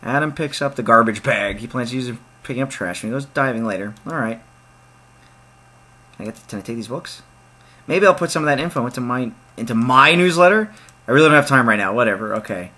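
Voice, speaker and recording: A young man narrating a point-and-click game as he plays, his voice bright and lively, swinging up for jokey asides. He reads the on-screen text aloud in a casual, excitable way. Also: medium pitch, quick pace. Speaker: let's play gamer. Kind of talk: casual let's play commentary.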